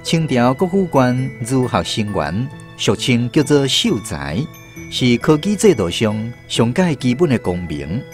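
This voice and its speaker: A man narrates a scripted radio drama as a storyteller. His mid-range voice moves up and down in very animated intonation. Soft music plays underneath. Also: mid-range voice, unhurried.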